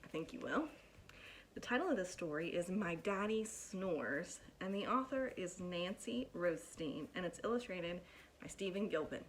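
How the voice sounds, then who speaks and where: A woman reading a picture book aloud to children in an expressive, deliberate storytelling manner, like a teacher addressing pupils. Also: on the low side, slight background noise, poor audio.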